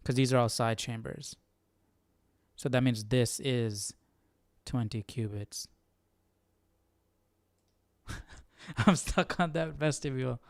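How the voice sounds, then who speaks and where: A man's voice: mid-range voice, takes it slowly, gravelly, long pauses, dry recording. A young man hosting a Bible study livestream, casually thinking aloud and repeating words as he works things out. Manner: hesitant, repeats words while working things out.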